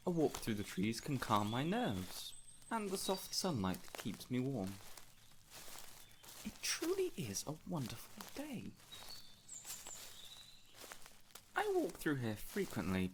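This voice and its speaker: Peculiar fey gentleman, played by a man: highly animated intonation, eager and courteous, theatrical roleplay delivery over faint woodland sounds. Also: slight background noise. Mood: delighted, friendly. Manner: flowery, excitable, theatrical phrasing. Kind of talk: immersive audio roleplay addressed to the listener.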